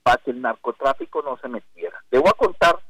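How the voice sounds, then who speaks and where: A man's voice: medium pitch, expressive, brisk, fairly bright tone, slightly rough, dry recording. A man with a Costa Rican accent calling in to a radio show by phone, talking in an emphatic, rambling way. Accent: Costa Rican Spanish. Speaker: caller. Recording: phone line call-in.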